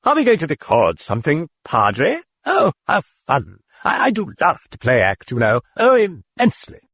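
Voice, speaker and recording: A sly, sneering demon, put on by a man purrs in a raised, sing-song register, dripping with false politeness. Each taunt swoops up and down with mockery. The voice is husky and close, with no room around it. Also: fairly high pitch, sing-song, normal speed, dry recording, pitched above the performer's own voice, heavily acted. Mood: mock cheerful. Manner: mocking, sardonic and falsely cordial. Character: sardonic mocking demon possessing a girl.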